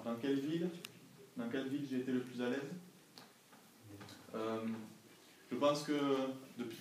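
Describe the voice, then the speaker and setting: Male, twenties, careful teacherly interviewee, recorded at a distance in an echoey room. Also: medium pitch. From France. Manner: didactic, careful, frequent checking questions. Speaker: language assistant being interviewed by students. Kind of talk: informal classroom interview answers. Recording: echoey classroom, distant mic, faint noise.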